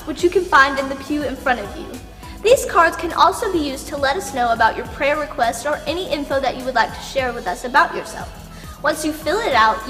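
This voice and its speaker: High-voiced young woman giving church announcements. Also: pushed and bright. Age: young adult. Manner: enthusiastic, addressing the congregation. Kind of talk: upbeat scripted announcements. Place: large empty church sanctuary, indoors. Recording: echoey church hall, music behind.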